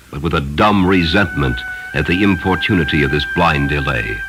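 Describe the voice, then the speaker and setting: A man narrating a story from a script in a solemn, expressive and dramatic style. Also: sits low, poor audio. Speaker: audiobook narrator.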